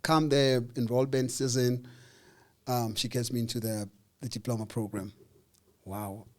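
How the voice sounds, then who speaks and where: A man with a South African accent telling a personal story in a casual interview, acting out the people in it and pausing on fillers. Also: mid-range voice. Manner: animated, acts out dialogue with voices, hesitant fillers. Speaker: interview guest.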